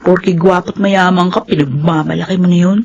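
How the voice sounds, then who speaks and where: Firm young Filipino daughter, muffled. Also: female voice, on the low side, fairly even intonation, moderate pace, darkish tone. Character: principled, dutiful elder daughter, young, frank and firm. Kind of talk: Filipino radio drama acting.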